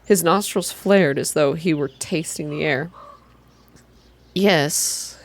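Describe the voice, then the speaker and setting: A woman narrating first-person fiction aloud in a soft ASMR reading style, with deliberate, expressive storytelling. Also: deep voice.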